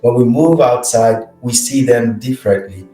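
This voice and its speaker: A man hosting a conversational interview, speaking in a calm, reflective way with short backchannels. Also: medium pitch, moderate pace, over music. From Nigeria. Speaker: host. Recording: roomy echo.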